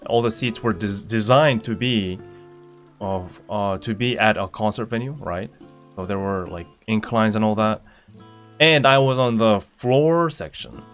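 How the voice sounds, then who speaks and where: A young man from Indonesia, a streamer, chatting casually and reading comments in a relaxed, rambling way with fillers and restarts. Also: fairly low pitch. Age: young adult. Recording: faint guitar music behind.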